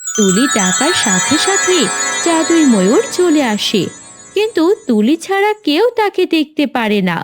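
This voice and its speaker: Female narrator for children's cartoon tales: high voice, lively intonation, scripted storytelling. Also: a little slow, over music.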